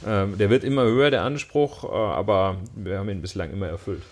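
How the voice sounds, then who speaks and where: Deadpan man co-hosting a podcast. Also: lowish voice, fast. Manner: dry, deadpan humor. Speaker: defense lawyer, co-host. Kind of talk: casual podcast chat. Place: indoor room with the host.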